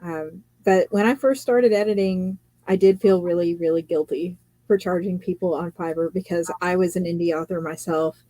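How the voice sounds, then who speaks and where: A woman, a fantasy author and editor, telling her story in a casual live chat, chatty and quick to laugh, often quoting what others said. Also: on the low side, fairly even intonation, warm tone, no room sound, poor audio.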